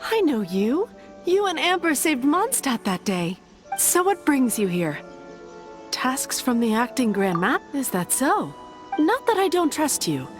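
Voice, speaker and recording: Fantasy game nun: highish, expressive, formal, courteous yet unbending. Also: female voice, a little slow. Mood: guarded, businesslike. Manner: formal, pious, curtly polite. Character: courteous but firm young nun, primly dismissive. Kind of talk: fantasy video game voice acting. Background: background music.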